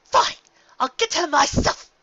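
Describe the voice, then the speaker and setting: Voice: high-pitched, animated, unhurried, bright, forceful tone, no echo, muffled recording, voice pushed up, heavily acted. A narrator voicing a prim, dramatic female pony in a theatrical, genteel, exclamatory way for a fan fiction audiobook. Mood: indignant, alarmed.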